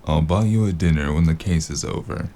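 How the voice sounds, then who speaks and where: An actor playing a weary, sardonic noir investigator in an audio drama, gravelly and dryly deadpan. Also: male voice, rumbling low, a little fast. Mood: dry, focused. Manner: dry, understated deadpan.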